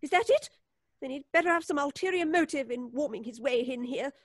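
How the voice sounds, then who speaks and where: High, prim, indignant radio-play lady. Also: lively intonation, a little fast, dry recording, studio quality. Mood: disdainful. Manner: prim, theatrical. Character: prickly, defensive, indignant lady neighbor. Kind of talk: old-time radio suspense acting.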